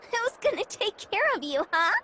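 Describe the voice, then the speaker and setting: A woman's voice: very high-pitched, big pitch swings, moderate pace, very noisy. A woman voicing a perky, sassy little sister in a video game, bubbly and teasing. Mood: teasing, confident. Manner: teasing, bubbly, plain-spoken.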